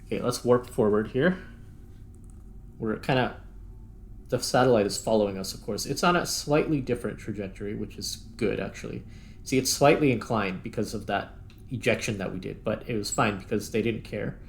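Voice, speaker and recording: A man's voice: on the low side, vocal fry, slight background noise. A man giving casual, unscripted gameplay commentary, muttering step by step as he thinks aloud. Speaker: host playing and narrating a video game.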